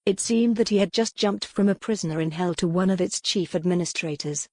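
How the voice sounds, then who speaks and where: Low-pitched woman narrating a novel, dry sound. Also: a little fast, dark tone, dry recording. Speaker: audiobook narrator. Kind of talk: scripted dramatic narration of a historical romance novel.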